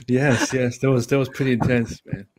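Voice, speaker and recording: A young man with a mid-pitched voice talks on a casual livestream video call. He jokes in a mock-solemn, pious manner, often repeating a phrase before going on. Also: a little hiss or hum. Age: young adult. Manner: mock-pious, hesitant repetition. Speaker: guest on a livestream. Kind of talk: casual joking livestream chat. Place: small room at home, video call.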